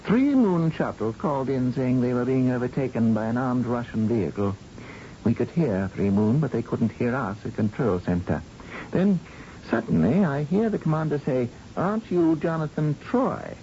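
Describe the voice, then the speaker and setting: An actor playing an earnest, dutiful space flight controller in a 1980 radio drama, reporting in clipped, urgent phrases. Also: male voice, medium pitch, very animated, normal speed, warm tone, close to an everyday voice. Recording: dull lo-fi old radio recording, faint noise.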